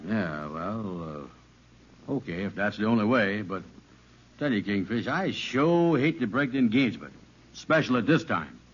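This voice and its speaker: An actor playing a slow-witted, gullible, easygoing man in an old radio sitcom, with a bumbling, hesitant, folksy delivery. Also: fairly low pitch, animated, husky. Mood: worried. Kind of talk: 1940s radio sitcom acting. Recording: muffled, hissy old radio recording.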